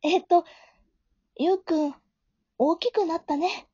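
Woman acting high, soft-toned teenage girl, easily flustered. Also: steady tone, takes it slowly, dry recording. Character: flustered, caring high school girl narrating her reunion. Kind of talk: amateur Japanese audio drama acting with inner narration. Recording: dull, lo-fi home recording.

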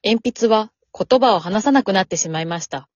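A woman's voice: medium pitch, lively intonation, moderate pace, dry recording, dull audio, lightly acted. A woman reading scripted story narration for an amateur radio drama.

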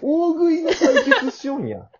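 A man's voice: very high voice, huge pitch swings, unhurried, lo-fi sound. A man with a western Japanese regional accent in casual comic banter, firing back teasing, incredulous retorts as a podcast host.

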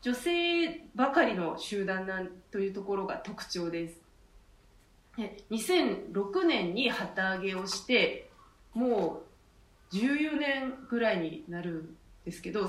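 A woman's voice: medium pitch, big pitch swings, a little slow. A woman speaking as a theater director and co-host, casually introducing her troupe. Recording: echoey room, distant mic.

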